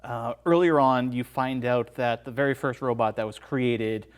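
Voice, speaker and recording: A man's voice: medium pitch, steady tone, moderate pace. A man as a podcast guest, casually discussing a film and explaining things in a conversational way.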